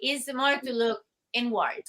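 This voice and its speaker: A middle-aged woman from Costa Rica, a guest artist speaking thoughtfully in an interview, with many pauses and restarts. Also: fairly high pitch, pushed and bright. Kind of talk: interview conversation.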